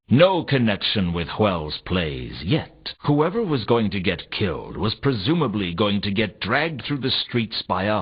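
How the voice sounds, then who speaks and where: Cynical noir private eye for a video game, low deadpan voice that swings up and down, kinda muffled old console audio. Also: male voice, husky, heavily acted. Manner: dry, deadpan, wry. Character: cynical, world-weary male private detective in a noir fantasy. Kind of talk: noir detective game narration. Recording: slightly dull, compressed console game audio.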